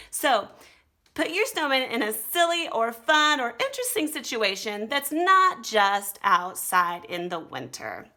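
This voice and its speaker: A woman's voice: fairly high pitch, sharp tone. An American woman teaching children to draw, talking them casually through each step in an encouraging way. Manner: encouraging, talks directly to young pupils. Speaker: art teacher giving a drawing lesson to children. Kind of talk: casual step-by-step demonstration while drawing.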